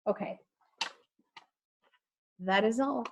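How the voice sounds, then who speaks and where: A woman's voice: fairly high pitch, drawn-out words, gravelly, background noise. A woman speaking as an elementary teacher, reading aloud and talking casually to her pupils in an online class. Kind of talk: read-aloud storytelling and casual announcements to pupils. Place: remote online class session.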